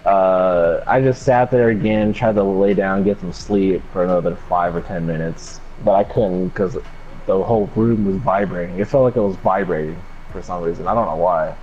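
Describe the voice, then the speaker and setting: A man's voice: sits low, moderate pace, darkish tone. A young man telling a personal story casually in a laid-back, slangy way with some swearing. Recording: dull lo-fi mic with background noise.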